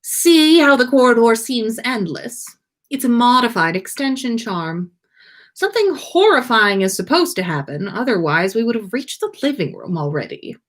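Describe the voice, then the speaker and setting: A woman's voice: a little high, sing-song, normal speed. A woman voicing a haughty, irritable young wizard man in a story, snooty and exasperated. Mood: weary, matter-of-fact.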